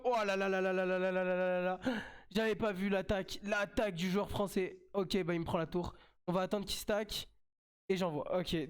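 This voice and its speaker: A man's voice: high voice, smooth. A man with a French accent and a slight cold, commentating his own mobile game live as a streamer, chatty and repeating himself as he plays.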